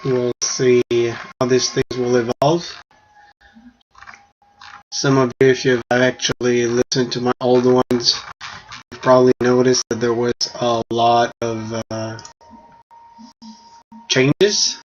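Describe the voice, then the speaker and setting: A man's voice: mid-range voice, monotone, slow, long pauses. A man whose first language is French, hosting a casual solo podcast and thinking aloud with small restarts. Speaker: podcast host. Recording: dull lo-fi stream audio with faint noise.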